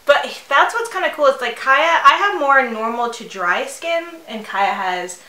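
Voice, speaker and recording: Bright-voiced chatty woman in her thirties. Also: sits high, expressive, a little slow. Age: 30s. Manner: giggly, rambling with fillers. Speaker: beauty vlogger. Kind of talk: chatty get-ready-with-me. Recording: echoey room.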